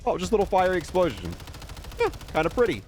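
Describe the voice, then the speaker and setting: A man with a slightly high-pitched voice talks casually through a video game showcase as its host. Faint music plays behind him as he comments. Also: huge pitch swings, fast talker, a little mellow.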